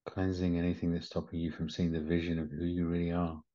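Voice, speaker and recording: A man leading a guided meditation in a gentle, soothing manner, with short unhurried instructions. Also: sits low, little pitch movement. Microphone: video call. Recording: slightly muffled video call audio.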